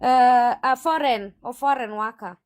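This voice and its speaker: Kenyan lady, high voice, agent in an interview, pushes back hard and repeats her points. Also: unhurried. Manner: assertive, emphatic, repeats herself. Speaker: recruitment agent being interviewed. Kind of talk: combative interview answers.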